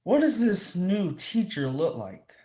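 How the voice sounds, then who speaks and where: A man plays a proud, stern professor in a dramatic reading. His voice is notably high and very animated, with formal, reproving diction. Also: a little slow. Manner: formal, reprimanding. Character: stern, proud male professor, demanding authority figure.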